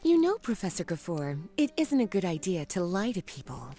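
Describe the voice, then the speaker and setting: A woman's voice: lowish voice, big pitch swings, a little fast, mellow, soft background music. A woman playing a poised, self-assured, teasing scholar turned librarian in a video game, speaking languidly. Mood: coolly firm.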